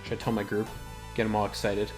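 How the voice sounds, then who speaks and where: A man's voice: lowish voice, little pitch movement, quick pace, music in the background. A man giving casual, rambling gameplay commentary as a video host, thinking aloud as he plays.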